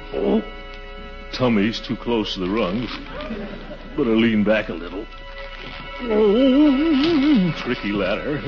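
An actor playing a pompous, excitable middle-aged householder who mutters and exclaims theatrically in a 1940s radio comedy. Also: male voice, medium pitch, creaky, muffled recording, full character voice. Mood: nervous. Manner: muttering to himself, theatrical exclamations, chuckling bluster. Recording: noisy, band-limited 1940s radio recording.

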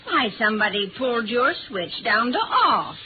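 An actress playing a helpful, curious neighbour lady in a 1950s radio drama, chatty and expressive. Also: fairly high pitch, moderate pace. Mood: surprised. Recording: tinny old radio recording, faint noise.

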